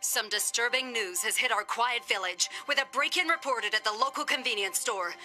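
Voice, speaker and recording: A woman's voice: fairly high pitch, normal speed, pushed and bright, faint music behind. A woman playing an earnest local TV newsreader in a cartoon, announcing in a formal, emphatic bulletin style. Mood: urgent, official. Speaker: news reporter. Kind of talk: cartoon parody of news bulletin.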